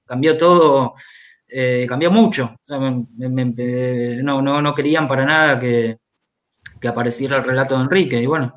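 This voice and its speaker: A man's voice: medium pitch, a bit bright. A man with an Argentine accent speaking as an interviewed writer, conversational and hesitant, often repeating words as he goes. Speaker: interviewed author, journalist and biographer. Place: remote video call.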